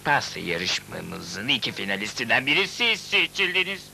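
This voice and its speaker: A man's voice: medium pitch, big pitch swings, moderate pace, gravelly, bright, forceful tone, heavily acted. A man voicing a scheming, boastful villain cook in a dubbed cartoon, with a harsh crowing voice and theatrical gloating. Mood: smug. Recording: noisy old VCD cartoon dub.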